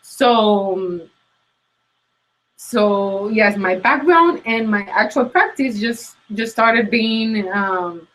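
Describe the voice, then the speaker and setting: A woman's voice: mid-range voice, extremely slow, a bit bright, slight background noise, low-quality recording. A woman from Puerto Rico whose first language is Spanish, speaking English with a thick Puerto Rican accent, talking earnestly as an interview guest with frequent ums and restarts. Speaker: guest coach. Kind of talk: interview conversation. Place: New York.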